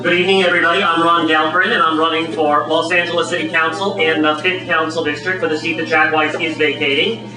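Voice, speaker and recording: A man with a notably high voice gives an upbeat, polished campaign pitch. He is heard from a distance in a roomy hall. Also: little pitch movement, fast talker. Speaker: city council candidate. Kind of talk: campaign speech. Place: community meeting hall. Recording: echoey hall from far off.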